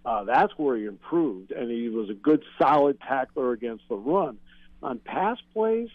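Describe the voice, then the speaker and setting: A man's voice: mid-range voice, expressive, a little slow, dry recording. An American man giving analytical, explanatory answers as a guest sports broadcaster in a radio interview. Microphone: remote call-in connection. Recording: narrow, dull phone-like call-in line.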